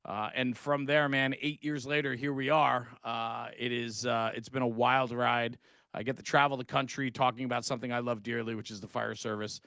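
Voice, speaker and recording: A man's voice: mid-range voice, little pitch movement, normal speed, sharp tone, dry recording, studio quality. A middle-aged man talking casually and passionately on a live stream, with an emphatic, buddy-like manner. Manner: earnest, passionate, emphatic, says "man" and "bro". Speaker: guest, fire service podcaster.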